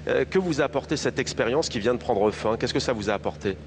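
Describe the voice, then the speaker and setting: A man hosting a live television show outdoors, chatty and lively, introducing guests and segments. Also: mid-range voice, fast talker, background noise. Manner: lively, chatty, lingers on words. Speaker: host. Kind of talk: live television interview and presenting.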